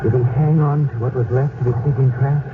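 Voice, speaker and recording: An actor playing Marcus, a steady and protective young Roman, narrating his story in measured tones in a 1970s radio drama. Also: medium pitch, close to an everyday voice. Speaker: narrator and lead character. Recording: tinny lo-fi radio broadcast with loud hiss.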